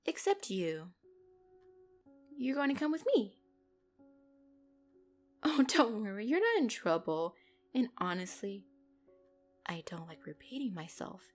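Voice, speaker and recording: A commanding female mafia boss, acted by a woman, speaks over music with a very lively, swinging pitch. She is possessive and icily playful. Her controlled, condescending delivery wraps threats in mock sweetness, in the style of a dramatic roleplay audio. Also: takes it slowly, pauses often. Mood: mock-sweet.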